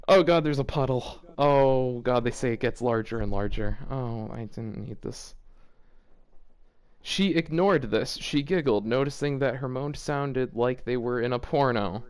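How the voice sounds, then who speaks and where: A man's voice: mid-range voice, measured pace, smooth. A man hosting a casual read-aloud, often breaking off to comment in amused, exasperated disbelief. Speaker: host reading fan fiction aloud for a video.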